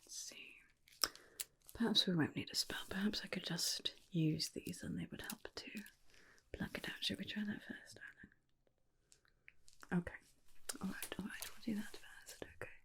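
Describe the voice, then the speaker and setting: A woman playing a gentle, doting female vampire in a breathy, soothing whispered ASMR personal attention roleplay. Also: mid-range voice, full character voice. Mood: coaxing, concerned. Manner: soothing, slow, tender, reassuring.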